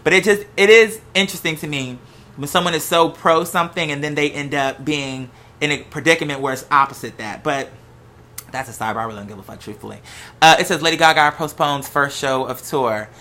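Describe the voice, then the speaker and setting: Bright, animated American gossip host. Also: mid-range voice, brisk. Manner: animated, sassy, slangy storytelling with acted-out quotes. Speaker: host of a gossip and commentary show. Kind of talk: casual unscripted monologue.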